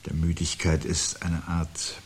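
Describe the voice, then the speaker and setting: A man acting a weary, resigned intellectual husband in a 1970s radio play, speaking in a measured, reflective way with a husky voice. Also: a bass voice, little pitch movement, full character voice. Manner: measured, reflective, pedantic phrasing.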